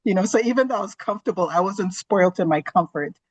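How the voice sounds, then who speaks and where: A woman's voice: medium pitch, expressive, fast. A woman in her forties from Zambia, an earnest and reflective interview guest who talks with many fillers. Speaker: guest, gastroenterologist. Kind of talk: casual interview talk.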